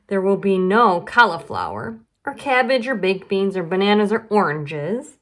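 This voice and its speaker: A woman's voice: medium pitch, big pitch swings, normal speed, fairly bright tone. A woman voicing a clever, patient young boy, an older brother in a storybook, explaining persuasively with emphasis. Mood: sly, reassuring.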